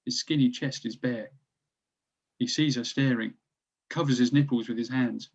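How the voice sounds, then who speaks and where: A man's voice: mid-range voice, steady tone, normal speed, darkish tone, husky, muffled recording. A man reading stage directions as scripted narration for a screenplay table read.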